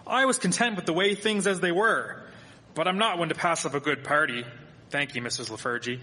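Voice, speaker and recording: A skeptical, blunt townsman of the 1870s, acted by a man in a live radio play. His voice is forceful and fairly high, ringing in an echoey hall. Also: a little fast. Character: grumbling, skeptical townsman in 1873, fond of parties. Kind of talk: live radio drama acting.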